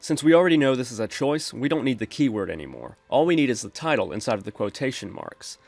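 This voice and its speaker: Steady man narrating a coding tutorial. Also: medium pitch, fast talker, gravelly, dry recording. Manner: steady explanatory, addresses viewers as folks. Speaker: programming tutorial host. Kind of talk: screen-recorded coding tutorial.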